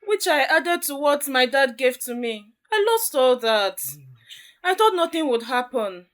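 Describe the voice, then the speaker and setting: Actress playing a desperate young schemer, very high voice, pleading, stammering, self-justifying, audio drama. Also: female voice, expressive, a little slow, a bit bright. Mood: tearful explaining.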